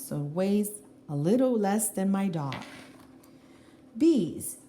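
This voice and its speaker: Animated woman reading aloud to children. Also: medium pitch. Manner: expressive, deliberate, addressing young children. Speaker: teacher reading a picture book aloud. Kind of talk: children's read-aloud with explanatory asides. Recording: roomy echo, faint background noise.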